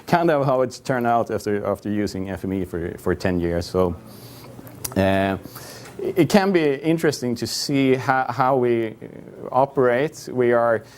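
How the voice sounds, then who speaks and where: A man's voice: mid-range voice, animated, unhurried, darkish tone, a little hiss or hum. A man in his thirties with a Swedish accent gives a conference talk in a hall, hesitant with many fillers and restarts and wry asides. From Sweden. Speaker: conference presenter. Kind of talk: conference presentation.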